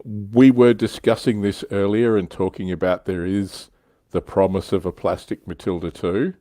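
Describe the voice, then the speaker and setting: A man's voice: fairly low pitch, steady tone, moderate pace, mellow, dry recording. A man hosting a casual livestream discussion about a hobby, talking conversationally. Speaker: livestream host.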